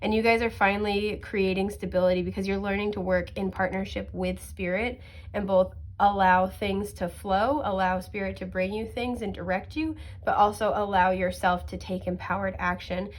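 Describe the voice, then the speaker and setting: A sniffly woman reading tarot cards in a chatty, reassuring way, with many fillers and soft laughs. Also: mid-range voice, little pitch movement, normal speed. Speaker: tarot card reader. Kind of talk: casual pick-a-card tarot reading.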